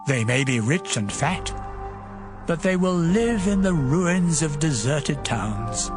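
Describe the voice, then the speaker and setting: A stern biblical elder, preaches scornfully in an audio drama. He speaks slowly and emphatically, with wide swings of pitch, while music plays clearly behind him. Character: stern, scornful male elder admonishing a sufferer.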